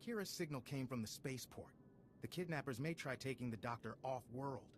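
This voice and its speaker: Man voicing male security agent commander, video game, brisk, urgent, businesslike. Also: mid-range voice, a little hiss or hum, close to an everyday voice.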